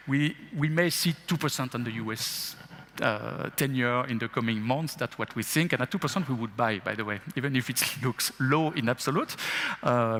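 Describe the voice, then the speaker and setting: A man's voice: mid-range voice, fairly bright tone. A man speaking as a bank investment chief on stage at a fireside chat, answering with rhetorical questions and frequent laughs. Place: event stage in a large hall. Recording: long hall reverb.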